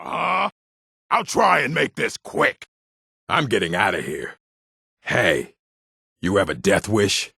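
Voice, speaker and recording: An actor playing a gruff, aggressive orc warrior in a video game, with a rough, gravelly voice and a blunt, menacing manner. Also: male voice, medium pitch, bright, forceful tone, no echo, clean studio sound, exaggerated voice. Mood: gruff, wary. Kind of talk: video game character barks.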